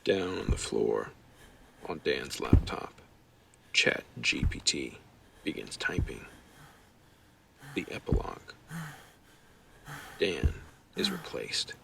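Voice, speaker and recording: A very deep-voiced man narrates a scripted horror story with a heavy, crackling fry in his voice. The recording is noisy, and he sounds set back from the microphone. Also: lively intonation, slow, long pauses, recorded from a distance.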